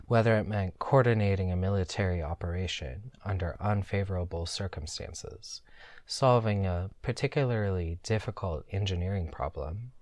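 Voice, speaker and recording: A man's voice: low voice, dry recording, clean studio sound. A man hosting a podcast and reading fan fiction aloud in a scripted narration style. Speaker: podcast host and story reader.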